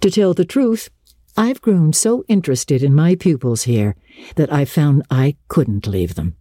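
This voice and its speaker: A woman voicing a kindly, encouraging schoolteacher in a dramatic storybook reading, speaking in a measured way. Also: deep voice, expressive, dark tone, dry recording, clean studio sound. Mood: fond, resolved.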